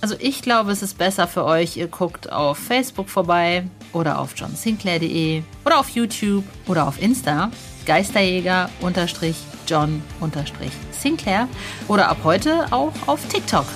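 A woman's voice: lowish voice, animated, normal speed, faint music behind. A woman hosting a podcast in a casual, chatty and playful way. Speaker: podcast host.

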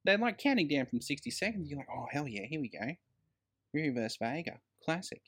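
A man's voice: a little high, very animated, a little fast, fairly bright tone, husky. A man co-hosting a casual film review chat, emphatic and slangy, with plenty of swearing. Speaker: podcast co-host.

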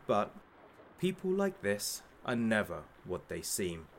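A man's voice: fairly low pitch, very animated, takes it slowly, slight background noise, full character voice. An actor playing a masked hostage-taker who runs a talk show, gleefully cruel, sarcastic and showman-like, in an audio drama. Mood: smug menace.

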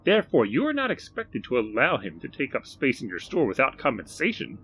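A man voicing an eerie, overly formal little male stranger who speaks slowly and precisely. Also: medium pitch, huge pitch swings, a bit bright, slight background noise, pitched above the performer's own voice, poor audio. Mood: measured.

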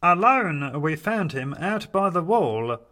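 A man voicing a big toy henchman who speaks solemnly in slow rhyming chant. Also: sits high, big pitch swings, pushed and bright, pitched above the performer's own voice, full character voice.

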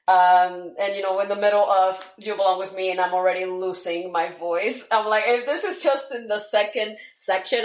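A woman guest in a casual podcast chat, talking enthusiastically with lots of fillers. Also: mid-range voice. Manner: enthusiastic, chatty, many fillers and restarts. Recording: echoey room.

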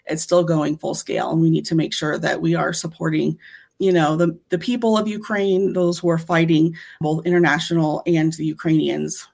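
Creaky-voiced woman, earnest. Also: low-pitched, steady tone, moderate pace, darkish tone. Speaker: guest charity fundraiser and volunteer. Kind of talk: informative podcast update.

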